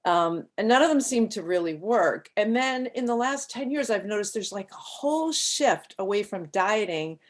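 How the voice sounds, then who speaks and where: A woman's voice: mid-range voice, animated, moderate pace, hardly any pauses. A woman hosting an interview, chatty and enthusiastic as she talks with her guest. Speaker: host. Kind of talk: casual interview talk.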